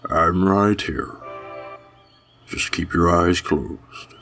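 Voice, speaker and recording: A grieving monster king, voiced by a man, fatherly and tender. He speaks slowly in a low register with a gravelly creak, always calm and reassuring. It is a dramatic fan reading with music underneath. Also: heavily acted. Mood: soothing, grieving. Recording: music bed with birdsong behind.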